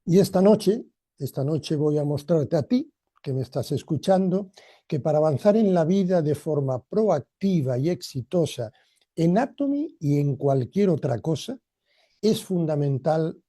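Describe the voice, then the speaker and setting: A man's voice: a little high, expressive, unhurried, warm tone, dry recording, studio quality. A man gives a motivational training talk in a reflective, personal way, addressing listeners directly. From Argentina, emigrated to Spain as a boy.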